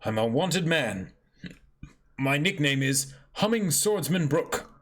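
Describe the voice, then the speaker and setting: A man's voice: on the high side, big pitch swings, takes it slowly, heavily acted. A man voicing a courteous, earnest skeleton pirate swordsman in a comic reading, speaking formally.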